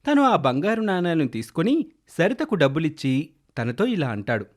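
A man's voice: fairly high pitch, sing-song, moderate pace. A man narrating a scripted children's moral story.

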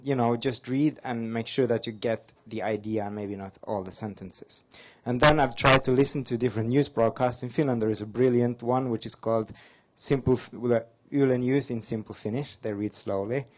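A man from Norway, whose first language is Norwegian, giving an upbeat slide talk at a conference with touches of self-deprecating humor. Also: mid-range voice. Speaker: conference speaker. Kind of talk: fast-paced slide talk. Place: conference hall.

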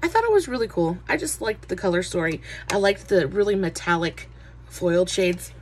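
A woman's voice: lowish voice, expressive, moderate pace. Woman, young adult, casual beauty vlogger; stuffy nasal voice, chatty with fillers, faint background noise. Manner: chatty, many "uh" fillers, friendly greetings. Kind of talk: casual makeup review.

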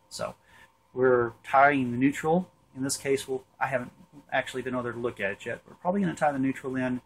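A man in his forties gives an informal hands-on technical explanation, thinking aloud haltingly, recorded on a camera in an indoor workshop. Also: medium pitch, slight background noise, rough recording. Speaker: HVAC tutorial presenter. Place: indoor workshop with metal shelving.

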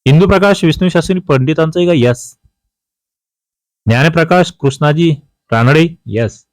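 A man's voice: a little high, moderate pace, no echo. Man teaching, close dry sound. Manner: repeats key answers, prompts students with questions. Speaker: teacher of competitive exam history. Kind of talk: quiz lesson, reading out questions and explaining answers.

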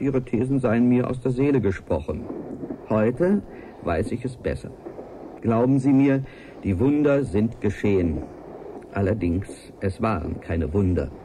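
An actor playing an earnest, driven biologist who dictates a formal, courteous and emphatic taped letter in a radio play. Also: male voice, medium pitch, noisy background, natural voice. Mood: earnest, confiding.

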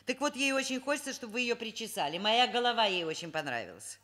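A woman playing a middle-aged professor, brisk and direct with dry irony, in a Soviet radio drama. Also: fairly high pitch, expressive, fairly bright tone. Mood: friendly, persuasive. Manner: brisk, direct, drily ironic. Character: brisk, wry middle-aged female professor, kindly boss. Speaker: actor in a radio play.

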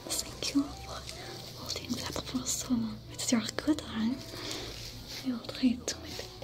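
A young woman voicing a gentle alien smuggler who tends wounds, whispering softly and slowly with a French accent. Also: fairly high pitch, steady tone, lightly acted. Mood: soothing whisper. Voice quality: soft breathy whisper. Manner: slow, soothing and caring. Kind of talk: whispered ASMR roleplay. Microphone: close to camera. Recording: whisper over loud music.

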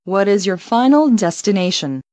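A woman reads short English travel phrases one at a time, slow and careful, as if for learners to repeat. Her voice sits at an ordinary middle pitch. The sound is dry and close with no room around it. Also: very animated, warm tone, dry recording. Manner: careful, evenly paced, each phrase read alone.

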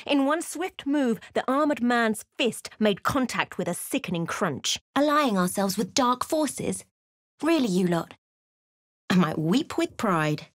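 A woman playing a wry, watchful female adventurer in a fantasy video game, with measured, dramatic storytelling delivery. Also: on the high side, very animated, dry recording, studio quality. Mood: wry, dry. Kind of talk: scripted fantasy game narration and dialogue.

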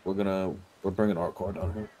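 A man's voice: deep voice, flat delivery, fast talker, dark tone, lightly acted. A man role-playing a brusque police officer in an online game, with clipped, profane speech. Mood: brusque, businesslike. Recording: noisy online game voice chat.

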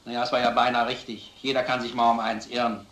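An actor playing a stubborn, protective former police detective with a dry, measured, firm manner. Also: male voice, medium pitch, level tone, a bit bright, close to an everyday voice. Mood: playful. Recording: echoey film sound, faint background noise.

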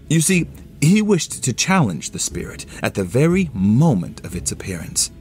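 A man narrating a classic story as a solo audio play, with theatrical, deliberate phrasing. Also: fairly low pitch. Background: music under the voice.

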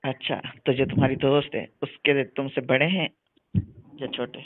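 A man's voice: medium pitch, steady tone, normal speed. A man chatting casually and asking questions in a private recorded phone call. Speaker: caller.

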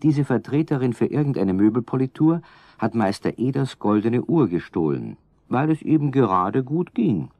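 A man narrating a children's radio play as a calm storyteller, addressing the listeners directly. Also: mid-range voice, normal speed, dry recording. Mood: calm, explanatory.

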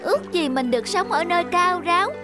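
Vain female rice plant, piercingly high, whiny, dramatic, cartoon fairy tale, music underneath. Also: expressive, brisk. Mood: wistful, complaining. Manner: dramatic, complaining.

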